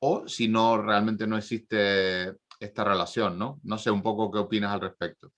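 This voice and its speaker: Low-voiced guy hosting a talk, fumbles for words a lot while asking his question. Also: flat delivery, fairly bright tone. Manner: halting, searching for words. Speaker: conference moderator. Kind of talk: moderating a Q&A.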